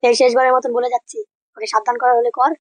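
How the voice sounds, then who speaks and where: A man voicing an angry, crude, threatening neighbour in a comedy cartoon, with a pitched-up voice. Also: level tone, quick pace, dry recording. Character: angry, foul-mouthed neighbourhood man protecting his daughter.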